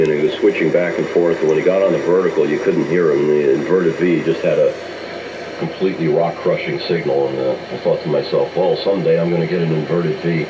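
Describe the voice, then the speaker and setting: A middle-aged or older American man chatting casually and hesitantly as an amateur radio operator, heard through an AM radio transmission. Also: deep voice. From United States. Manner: rambling, hesitant, reminiscing. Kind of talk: casual ham radio chat. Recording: muffled, noisy AM shortwave radio signal. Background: radio static noise.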